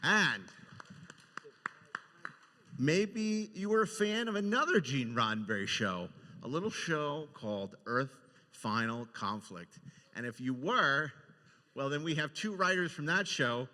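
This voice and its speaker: A man hosting unscripted, introducing things effusively and enthusiastically, with a halting, filler-heavy delivery. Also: a little high, lively intonation, sharp tone. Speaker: podcast and convention panel host. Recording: echoey hall with long reverb.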